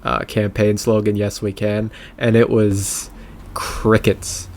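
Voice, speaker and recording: Man, somewhat low pitch, sardonic and mocking, casual podcast banter about politics. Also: little pitch movement, slow, a little mellow, a little hiss or hum. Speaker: podcast co-host.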